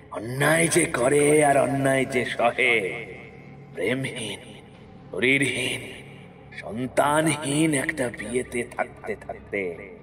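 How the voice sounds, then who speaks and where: A man playing a stern, sorrowful, quietly menacing ghost in an audio horror story, speaking in a measured, deliberate way. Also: mid-range voice. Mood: bitter reproach.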